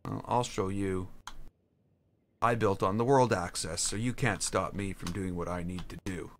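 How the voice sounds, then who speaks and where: A man streaming a game, chatting casually and haltingly, answering chat while he builds. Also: low voice, fairly even intonation, slight background noise. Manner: rambling, halting, answers chat between tasks.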